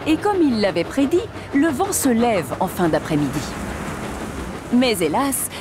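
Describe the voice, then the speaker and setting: A woman's voice: on the high side, big pitch swings, measured pace. A woman voicing the storyteller of a children's train cartoon in scripted narration. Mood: storytelling. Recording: music behind the voice.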